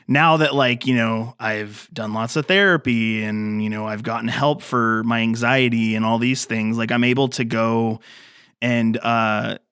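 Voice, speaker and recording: A man's voice: mid-range voice, fairly bright tone, clean tone, dry recording, clean studio sound. A man in his thirties, a filmmaker guest on a podcast, talking casually and rambling with many fillers and restarts. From grew up in the mountains. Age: 30s.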